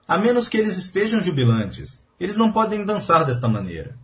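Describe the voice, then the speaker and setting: A man's voice: sits high, wildly swooping pitch, brisk, dull audio, slight background noise. A man reading a translated spiritual lecture aloud in an earnest, emphatic preaching manner. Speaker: voice-over reader of a translated lecture.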